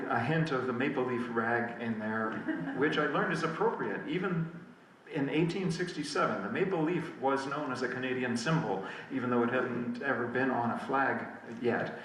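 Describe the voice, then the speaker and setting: A man's voice: medium pitch, fairly bright tone, slight background noise. A man giving a casual spoken introduction as a musician about to perform a song. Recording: very echoey room, distant mic, music.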